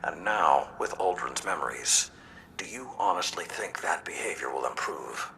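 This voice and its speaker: An actor playing a gruff, distrustful old warlord in a game cutscene, gravelly, terse and severe. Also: male voice, deep voice, expressive, normal speed, slight background noise, heavily acted. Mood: disapproving. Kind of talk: video game cutscene acting. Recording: loud music behind voice.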